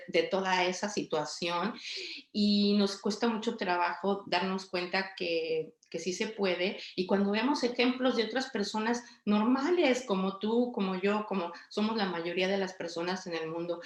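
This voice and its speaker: A woman's voice: medium pitch, reverberant. A woman hosting an interview, speaking conversationally with frequent fillers and restarts. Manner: warm-paced, hesitant with many fillers. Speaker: interview host.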